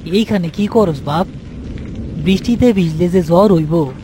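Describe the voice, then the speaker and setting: A man's voice: high-pitched, unhurried, noisy background. A man voicing an anxious, protective young uncle in a cartoon, speaking colloquially with a Bangladeshi accent. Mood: tender concern. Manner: tender, worried, colloquial. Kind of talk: horror cartoon character acting.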